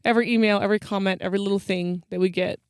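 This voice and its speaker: A man with a very high-set, clear voice co-hosts a podcast. He talks in a casual, playful and informal way. The recording is close and dry. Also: steady tone, rapid-fire, dry recording. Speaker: podcast co-host. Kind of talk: casual chat.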